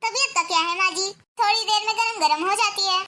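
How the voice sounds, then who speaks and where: A woman's voice: very high-pitched, brisk, pushed and bright. A woman acting an anxious young wife who frets and pleads in a family drama. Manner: fretful and pleading.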